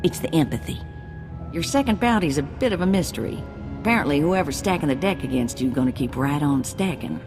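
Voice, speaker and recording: Wry bounty broker, very low voice, clear game music under it. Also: female voice, expressive, brisk.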